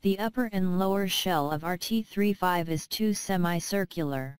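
A woman's voice: on the low side, normal speed, clean tone, dry recording. A woman reading a scripted product narration, with careful, non-native English phrasing. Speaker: product presenter.

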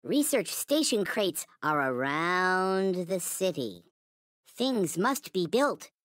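High, childlike little toy alien. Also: male voice, very animated, slow pace, a bit bright, no echo, studio quality, exaggerated voice. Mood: solemn, reverent. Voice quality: high-pitched, childlike cartoon voice. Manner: solemn, stilted, formal phrasing with awed delivery. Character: small, naive, reverent, ever-grateful toy space alien. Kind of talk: video game character voice lines.

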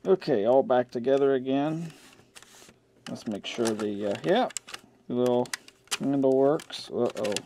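A man's voice: mid-range voice, a little slow, pauses often. A man giving offhand, casual repair commentary, half talking to himself as he works with his hands. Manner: offhand, muttering to himself while working. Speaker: host of an electronics repair channel. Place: indoor workbench. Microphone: camcorder mic near the workbench. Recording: steady background noise, clicks of handling.

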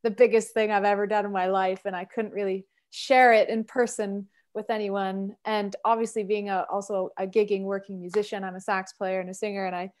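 A woman in her thirties from Canada, a singer and sax player, chatting as a guest on a video call from home in an expressive, storytelling way. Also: mid-range voice, brisk, few pauses. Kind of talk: casual interview chat. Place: home room, indoors.

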